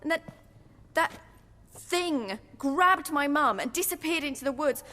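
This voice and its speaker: An actress playing a bitter young noblewoman who talks in a cold, sharp, sarcastic way. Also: high-pitched, lively intonation, unhurried, full character voice. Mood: shaken. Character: bitter young noblewoman, estranged daughter, zealous. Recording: long hall reverb.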